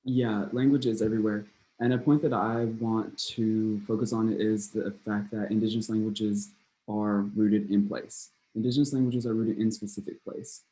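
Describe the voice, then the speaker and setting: Slow, deliberate man with a flat voice. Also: on the low side, low-quality recording. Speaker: panelist. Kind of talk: panel discussion over video call.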